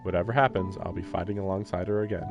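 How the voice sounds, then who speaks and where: A man with a slightly low voice streams a visual novel. He reads the text aloud and drops in offhand, wry commentary. Faint game music sits behind him. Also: steady tone, fast talker. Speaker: live streamer. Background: game soundtrack.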